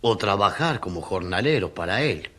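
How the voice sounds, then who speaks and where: An actor playing a poor, aggrieved tenant farmer giving plain-spoken, rustic testimony in a radio drama. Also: male voice, on the low side, animated, moderate pace, fairly bright tone.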